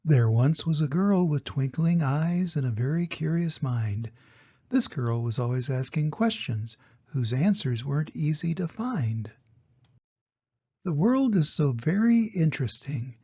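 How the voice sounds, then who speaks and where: An older grandfather reads a picture book to little children in a gentle, explanatory way. His voice is husky and creaky, with a soft, mellow tone. The recording sounds slightly dull and muffled. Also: a little high, lively intonation, a little slow. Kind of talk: children's storybook reading.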